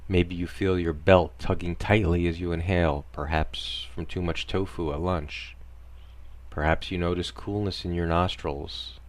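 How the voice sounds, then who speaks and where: A man narrating a scripted parody guided meditation in a deadpan, soothing instructional manner. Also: low voice, unhurried.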